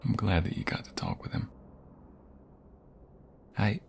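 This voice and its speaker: A man playing a protective, tender husband in an ASMR roleplay, speaking softly and intimately, often near a whisper. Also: fairly low pitch, huge pitch swings, measured pace, creaky, slight background noise, full character voice. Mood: gentle, sincere. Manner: soft, intimate, reassuring, often dropping to a whisper.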